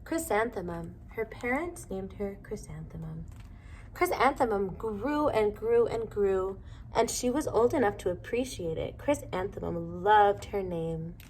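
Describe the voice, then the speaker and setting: A woman reading a children's storybook aloud in an expressive, measured way. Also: medium pitch, slight background noise, low-quality recording. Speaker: storybook reader.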